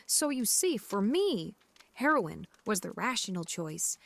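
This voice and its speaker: A woman's voice: medium pitch, big pitch swings, measured pace, creaky, fairly bright tone, exaggerated voice. A woman playing a drug-addled, deluded mother in an audio drama, with a dreamy, plaintive manner. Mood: dreamily persuasive.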